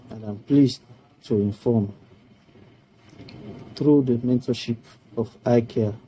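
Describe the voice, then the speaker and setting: A middle-aged man from Sierra Leone giving a formal testimonial about a project he completed, speaking in a slow, measured way on a video call. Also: mid-range voice, mellow, slight background noise, poor audio. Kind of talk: prepared testimonial speech. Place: indoor room. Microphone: webcam on a video call.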